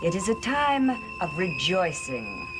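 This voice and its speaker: An actress playing an imperious witch high priestess of a devilish cult in a gothic soap opera, solemn, commanding and incantatory. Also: wildly swooping pitch, takes it slowly, music in the background, heavily acted.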